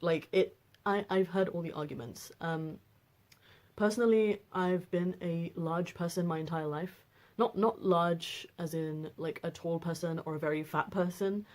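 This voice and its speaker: Deep-voiced young woman rambling casually. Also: fairly even intonation, measured pace, a little mellow. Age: 20s. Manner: rambling, frequent fillers and restarts. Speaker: vlogger. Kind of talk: casual personal vlog.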